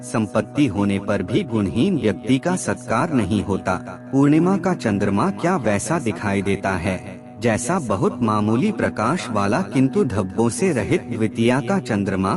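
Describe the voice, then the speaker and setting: A man narrates in a fairly low voice with very lively, swinging intonation. He recites scripted wisdom in a measured, formal way, with music clearly playing behind him. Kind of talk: scripted narration of moral aphorisms. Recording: music bed under the voice. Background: background music.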